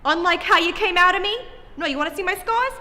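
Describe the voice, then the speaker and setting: Exasperated nagging mom, shrill sarcastic scolding, bright edge, played by a woman. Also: fast talker, big-room sound. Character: exasperated nagging middle-aged mother.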